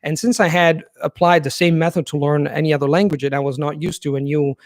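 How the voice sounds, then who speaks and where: A man hosting a live viewer Q&A. His voice sits a little high for a man, and his explanations are earnest and long. The sound is dry and close, with no room echo. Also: fairly even intonation, fast talker. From Italy. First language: Italian. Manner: thoughtful and earnest, explaining at length. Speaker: language-learning channel host. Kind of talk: live Q&A, reading viewer questions and answering.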